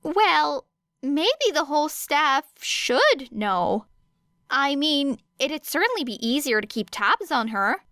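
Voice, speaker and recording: Woman voicing an animated, high-pitched excitable man. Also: slow, no room sound, studio quality, full character voice. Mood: nervous, upbeat. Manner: animated, emphatic, exclaiming. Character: excitable, firm, nervy adult male hero teacher.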